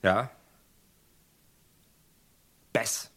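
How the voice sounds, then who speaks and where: An actor playing a grim, stubborn rancher in a German radio crime drama, speaking in terse, heavy, deliberate phrases. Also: male voice, a bit bright. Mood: grim, resolute.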